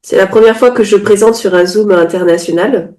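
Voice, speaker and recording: A woman's voice: mid-range voice, a little mellow. A woman giving consecutive interpretation of a sermon into French, restating each phrase promptly and sometimes correcting herself mid-sentence. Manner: quick restating, occasional self-corrections.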